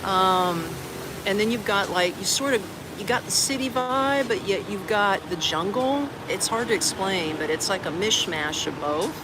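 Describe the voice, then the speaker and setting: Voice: medium pitch, a little slow. Chatty expat from Florida, streaming outdoors. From Orlando, Florida, United States. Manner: rambling and chatty, peppered with "like" and "man". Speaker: expat vlogger streaming live. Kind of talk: casual livestream chat. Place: outdoor garden café. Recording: outdoor stream with traffic noise. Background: outdoor ambience with traffic.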